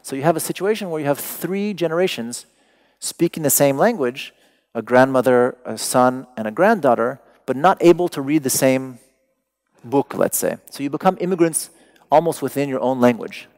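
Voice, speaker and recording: A man with a creaky, gravelly voice lectures to a seated audience in a large hall. He is a native Persian speaker who talks in a prepared yet conversational way, pausing to find and stress the exact word. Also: medium pitch, expressive, a little mellow.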